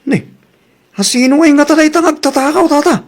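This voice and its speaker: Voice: high voice, fairly quick. An actor playing a brash, teasing, inquisitive neighbor with an Ilocano accent in a comedy drama, full of questions and exclamations. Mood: curious.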